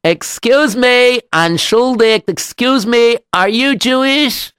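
A man voicing an eager, earnest travelling rabbi in a story, stammering and excitable with a sing-song delivery. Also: very high voice, slow, smooth, no room sound, studio quality, pitched above the performer's own voice, full character voice. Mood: polite, hesitant.

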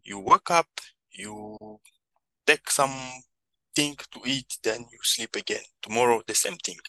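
A man's voice: mid-range voice, unhurried, vocal fry, sharp tone, no echo, low-quality recording. A man with a Congolese accent speaking earnest, non-native English as he gives reflective answers as a podcast guest. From Democratic Republic of Congo. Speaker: podcast guest, social entrepreneur.

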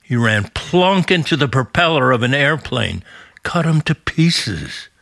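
A man's voice: medium pitch, sing-song, unhurried, creaky, fairly bright tone, dry recording, clean studio sound, exaggerated voice. An actor playing a folksy, gossipy American small-town family man telling things with chatty relish, in vintage radio drama style.

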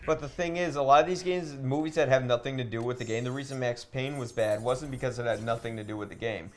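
A man's voice: mid-range voice, fast talker. Man in casual debate, noisy room. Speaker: podcast co-host.

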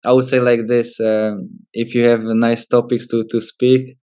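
Young polish guy, footballer being interviewed, flat mellow voice, polish accent, halting english. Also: medium pitch. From Poland. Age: 20s. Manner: hesitant, halting non-fluent English. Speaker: professional footballer, interview guest. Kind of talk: casual interview answers.

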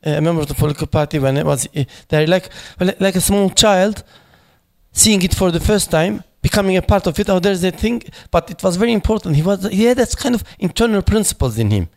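A man speaking at a memorial panel, halting and searching for words, with many fillers and restarts in English that is not his first language. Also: sits high, expressive, vocal fry. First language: not English; foreign accent in Turkish noted.

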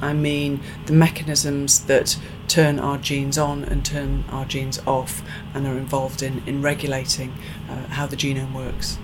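A woman scientist answering interview questions in a podcast, thoughtful and earnest, pausing on fillers as she explains. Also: deep voice, background noise. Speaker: scientist and professor being interviewed.

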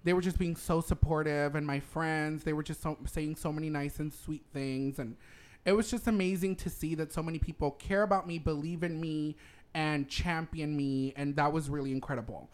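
A man's voice: a little high, fairly even intonation, normal speed. A man co-hosting a comedic chat show, talking in a playful, campy way and laughing often. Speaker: co-host.

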